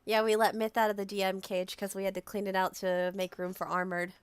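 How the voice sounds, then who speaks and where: A woman playing a tabletop game on a live stream, her voice hoarse from a cold, chatting in an apologetic, casual way. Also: medium pitch, little pitch movement, brisk, noisy background.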